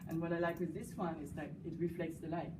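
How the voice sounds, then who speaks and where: A woman with a French accent speaks in a lowish voice, pausing often with fillers as she talks through her work. She is recorded from a distance in an echoey space, with clear noise behind her. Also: flat delivery. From France. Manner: hesitant, many fillers, warm laughter. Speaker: guest artist. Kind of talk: casual interview. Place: cafe gallery. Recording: echoey room, distant mic, background noise.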